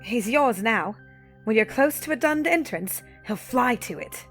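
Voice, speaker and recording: A woman playing a bold, cocky young elf renegade in an audio drama, brisk and playfully dramatic. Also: fairly high pitch, lively intonation, a bit bright, soft background music. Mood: sly, confident.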